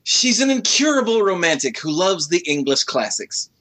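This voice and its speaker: A man co-hosting a comedy podcast, reading a passage aloud in a halting way and stumbling over words. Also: high-pitched, sing-song, sharp tone, slight background noise. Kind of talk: comic dramatic reading.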